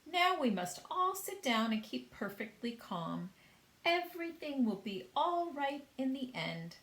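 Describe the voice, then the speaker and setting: Woman doing an elderly grasshopper leader, calm, reassuring, slightly high. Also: unhurried, roomy sound, full character voice. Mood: soothing. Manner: reassuring, trying to hide fear. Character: old male grasshopper, calm steady leader.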